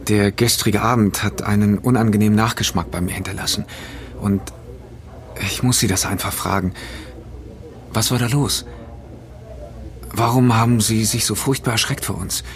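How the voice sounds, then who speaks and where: An actor playing a vengeful man in an audio thriller, polite on the surface, deliberate and controlled. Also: fairly low pitch, steady tone, close to an everyday voice. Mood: halting, insistent. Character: vengeful man, polite and halting, coldly menacing. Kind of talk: naturalistic audio drama acting.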